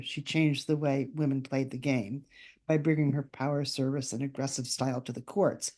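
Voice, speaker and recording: A woman speaking as a guest author in a conversational interview, telling stories in a halting, reflective way with pauses. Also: low-pitched, warm tone.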